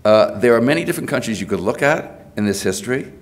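A man in his sixties with a cold, giving an academic lecture at a podium microphone in a large hotel ballroom, often pausing on fillers and restarting his phrases. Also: mid-range voice, lively intonation. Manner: hesitant, many fillers and restarts. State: has a cold. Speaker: lecturer giving a presidential address.

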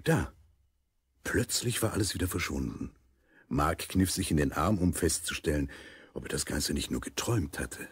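Very deep, husky male narrator. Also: animated, moderate pace, dry recording, clean studio sound. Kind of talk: scripted children's audio drama narration.

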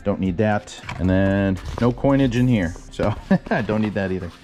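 A young man, a storage unit vlogger, chatting excitedly as he digs through boxes in an indoor storage unit on a head-mounted camera mic. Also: fairly low pitch, normal speed, faint music behind. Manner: excitable, keeps exclaiming wow and singing snatches. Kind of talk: excited casual narration while unboxing.

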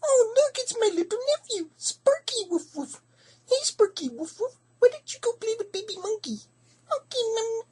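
A performer voicing a plucky female puppy in a squeaky, indignant baby-talk voice for a homemade cartoon play. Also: big pitch swings, moderate pace, a little mellow. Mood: defiant, cooing. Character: plucky female puppy-dog gunslinger, proud and scolding. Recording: noisy home recording with clicks.

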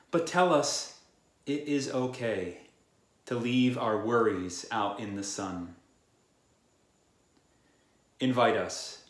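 A man in his thirties reads a scripted poetic prayer in a measured, reverent way, phrase by phrase, recorded indoors at home. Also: medium pitch. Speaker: worship prayer leader. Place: small room at home. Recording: roomy indoor echo.

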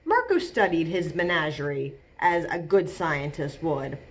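Expressive American woman reading to children, unhurried. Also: sits low. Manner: expressive, clearly paced delivery for young children. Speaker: children's librarian and storytime reader. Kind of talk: reading a picture book aloud to children. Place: small bright indoor room.

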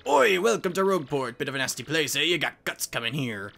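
A man voicing a rough, cheeky dockside ruffian in a video game, blunt and slangy. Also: a little high, big pitch swings, fairly quick, pushed and bright, soft background music, heavily acted.

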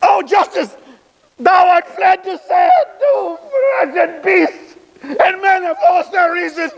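A man performing a classical stage monologue as a grieving, impassioned, bitterly ironic Roman funeral orator, declaiming deliberately with weighted pauses. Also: extremely high pitch, very animated, dull audio, a faint crowd, exaggerated voice. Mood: anguished, impassioned. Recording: long hall reverb.

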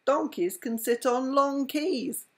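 A woman's voice: high-pitched, slow, slight background noise, pitched above the performer's own voice. An older woman voicing a bossy, eager male frog in a picture book. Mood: sing-song.

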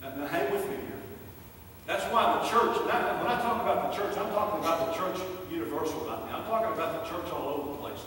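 A man's voice: high-pitched, fast, fairly bright tone. A man in his sixties preaching a sermon as a pastor, emphatic and repeating key phrases for weight. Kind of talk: sermon to a congregation. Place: church sanctuary, indoors. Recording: very echoey, distant hall recording with noise.

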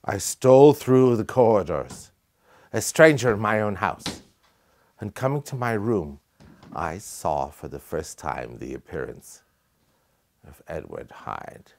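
Guy playing a creepy victorian doctor, low raspy voice, really slow and dramatic. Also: sing-song, darkish tone, full character voice. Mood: sinister. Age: middle-aged. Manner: grave, theatrical, deliberate. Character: haunted, sinister Victorian gentleman doctor, middle-aged. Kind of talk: dramatic monologue from gothic fiction.

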